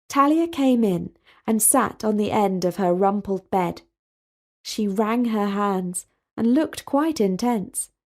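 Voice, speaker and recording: A woman reading a scripted story as its narrator, in a measured, expressive way. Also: medium pitch.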